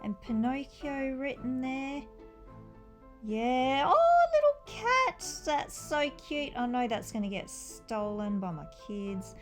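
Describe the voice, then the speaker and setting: A woman's voice: high-pitched, huge pitch swings, slow pace, soft background music. A woman giving a casual, chatty review of a toy brick set, enthusiastic and informal, with exclamations.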